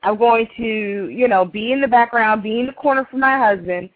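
A woman's voice: a little high, moderate pace, no echo. A woman co-hosting a radio talk show with casual chat and banter. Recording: thin, tinny phone-line radio sound.

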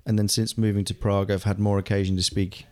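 Man in his forties, lowish voice, chatty guest full of ums and restarts. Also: little pitch movement, fast, a little mellow. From England. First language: German. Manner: chatty, hesitant, many fillers and restarts. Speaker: guest, actor. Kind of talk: casual conversation.

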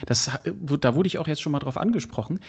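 A man's voice: a little high, expressive, fast talker, a little mellow, slight background noise. A man from Berlin hosting a casual podcast interview, chatty and enthusiastic, often addressing his listeners directly. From Berlin, Germany. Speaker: podcast host.

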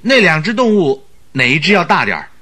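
明亮有力、起伏很大的男助手. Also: male voice, fairly high pitch, very animated, brisk, pushed and bright, heavily acted. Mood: nervous bravado. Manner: exclamatory, blunt, theatrical. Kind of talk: Chinese radio drama acting.

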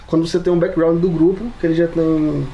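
A man's voice: fairly high pitch, flat delivery, fast, vocal fry, muffled recording. A man with a Brazilian Portuguese accent chatting casually as a podcast co-host and game master.

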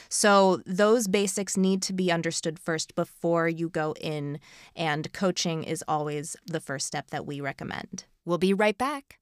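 A woman podcast host speaking in a measured, explanatory way, close to the microphone. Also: on the low side, clean tone, dry recording. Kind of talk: instructional podcast hosting.

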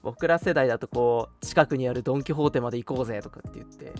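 A man's voice: medium pitch, fast, darkish tone. Young male radio host, casual and reflective with a teasing edge, music underneath. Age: young adult. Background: background music.

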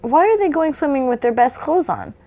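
A woman's voice: high-pitched, sing-song, a little fast, phone-quality sound, pitched above the performer's own voice. A woman reading as an innocent, easily frightened hobbit boy. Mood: worried, puzzled. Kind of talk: read-aloud storybook dialogue.

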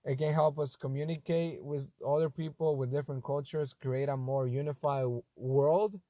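Spanish-accented man hosting, dry and mellow. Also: medium pitch, steady tone, no room sound. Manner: thoughtful, halting, restarts phrases. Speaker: host. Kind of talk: casual monologue.

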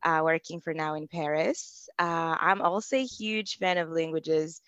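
Young woman guest in her twenties, lowish voice, chatty and giggly, dull dry sound. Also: fairly even intonation, a little slow, a bit bright, no room sound. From Germany. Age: 20s. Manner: chatty, laughs often. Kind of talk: casual chat.

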